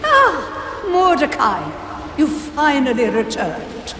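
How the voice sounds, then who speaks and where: Creaky old undead granny for a pirate game, cheerfully confused, slow swoopy talk, big echo. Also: female voice, sits high, wildly swooping pitch, far from the mic, noisy background, exaggerated voice. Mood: dotty delight. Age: older. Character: dotty old undead crone, eerily cheerful. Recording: echoey long reverb, set back.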